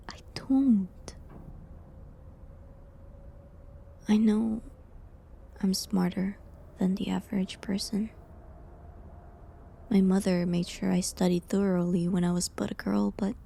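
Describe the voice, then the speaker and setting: A woman playing a falsely accused village healer in a medieval audio drama, sad and resigned, speaking softly and hesitantly with pauses. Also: mid-range voice, exaggerated voice. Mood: pleading. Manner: soft, hesitant, breathy delivery with pauses. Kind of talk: dramatic audio roleplay monologue, medieval setting.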